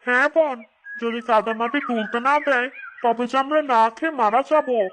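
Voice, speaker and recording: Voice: mid-range voice, moderate pace, lightly acted. A performer voicing an earnest, worried young potter sister in a village cartoon. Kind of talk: cartoon voice acting. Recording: slightly noisy track.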